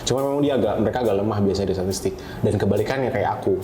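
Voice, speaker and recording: Guy chatting on a podcast, quick and lowish, explains stuff, bit roomy with faint noise. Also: dark tone. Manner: explanatory, peppers talk with English words and tags. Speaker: guest, data scientist. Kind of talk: casual podcast conversation.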